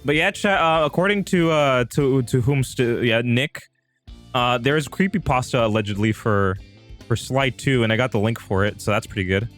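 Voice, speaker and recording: A man's voice: medium pitch, moderate pace, a bit bright, faint music behind. A man hosting a live stream with casual chat, rambling and repeating himself as he talks straight to his viewers. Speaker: livestream host.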